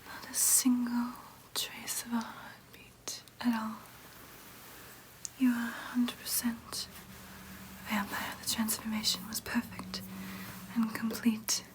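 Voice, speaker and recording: Casting: woman to play gentle, businesslike female vampire nurse for ASMR roleplay; breathy whisper throughout, soothing clinical bedside manner, fairly high-set, level melody. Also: completely flat delivery, slow, low-quality recording. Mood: pleased, attentive. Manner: soothing, clinical, hesitant asides.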